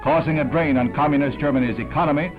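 A man's voice: on the high side, quick pace. A man narrating an archival newsreel in a dramatic, emphatic announcer style. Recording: tinny archival newsreel audio with music.

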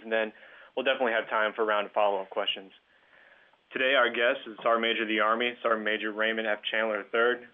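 A man moderating a call, reading a brisk scripted introduction. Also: on the low side. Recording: tinny phone line.

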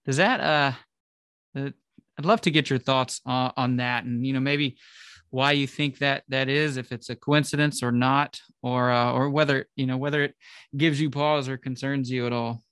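A man's voice: mid-range voice, fairly even intonation, normal speed, a bit bright, smooth, no room sound, clean studio sound. A man hosting a conversational interview podcast, phrasing his questions in a hesitant, roundabout way. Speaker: podcast host interviewing a guest.

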